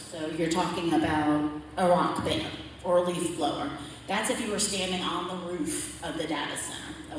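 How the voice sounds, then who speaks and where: A woman giving a plain, explanatory slide presentation at a community forum, often checking in with "okay?". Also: slight background noise. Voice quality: low voice. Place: large echoey meeting hall. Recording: echoey hall, voice from far off.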